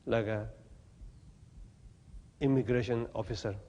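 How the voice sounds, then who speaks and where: A man from Tibet, giving a Buddhist teaching in halting, plain English with gentle humor. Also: medium pitch, steady tone, in a large hall, muffled recording, noisy background. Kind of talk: dharma talk to an audience. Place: talk venue with audience.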